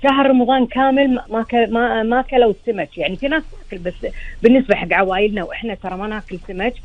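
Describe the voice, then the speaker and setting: A woman's voice: fairly high pitch, very fast, dry recording, phone-quality sound. A woman with a Gulf Arabic accent and a slightly tired, strained voice, chatting as a guest chef and explaining things with rhetorical questions. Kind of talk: chatty interview reminiscence.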